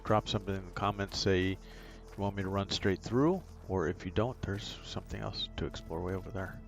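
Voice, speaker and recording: Gravelly, low-voiced man commenting on gameplay. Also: brisk. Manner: laid-back, offhand. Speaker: game guide streamer. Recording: game music behind the voice.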